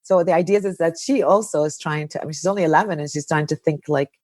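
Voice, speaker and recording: A woman's voice: sits low, fast talker, darkish tone, dry recording. A woman podcast host and counselor, talking in a chatty, anecdotal way full of "like" and "you know.". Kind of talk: casual podcast conversation. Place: Kuwait.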